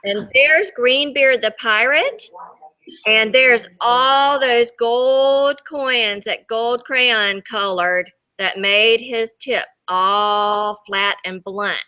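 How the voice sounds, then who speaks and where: A woman teacher reading a picture book aloud to young children in an expressive, chatty way, often asking them questions. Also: fairly high pitch, extremely slow, clean tone. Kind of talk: expressive read-aloud storytime.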